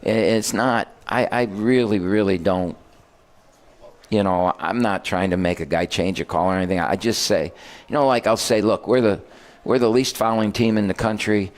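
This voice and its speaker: Older man, basketball coach, low pitch, rambling, self-correcting, casual radio talk. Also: steady tone, normal speed. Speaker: college basketball head coach.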